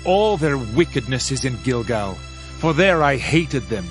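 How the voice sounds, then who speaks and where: A man's voice: mid-range voice, sing-song, measured pace. A man playing a solemn Old Testament prophet in a dramatized Bible reading, declaiming judgment in a grave, formal manner. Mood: wrathful. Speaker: scripture narrator. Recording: music under the voice. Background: music.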